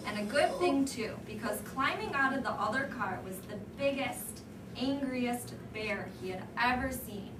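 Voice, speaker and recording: A woman with a fairly high voice reads to young children as their teacher. She pauses to ask questions and repeat answers. The sound is distant and reverberant, with clear background noise. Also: expressive. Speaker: first grade teacher reading aloud. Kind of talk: storybook reading and discussion with children. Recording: echoey room, distant mic, background noise.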